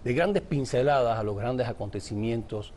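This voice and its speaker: A man's voice: mid-range voice, normal speed, darkish tone. A man from Cuba, an author and journalist, answering interview questions in a reflective, discursive way.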